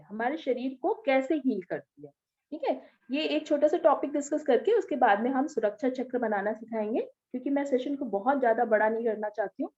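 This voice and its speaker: A woman teaching an online class with a slightly hoarse throat, explaining step by step and often checking that her students follow. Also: fairly high pitch, warm tone, highs cut off. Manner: explanatory, often asks "ठीक है?" to check understanding. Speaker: Reiki teacher.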